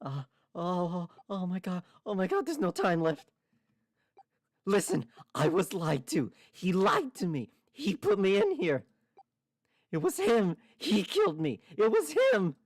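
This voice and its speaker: A streamer acts out a trapped victim from a visual novel, panicked and betrayed. The voice climbs high and swings widely in desperation, with a dry, close sound. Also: huge pitch swings, a little slow, creaky, no room sound, heavily acted. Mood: panicked, desperate.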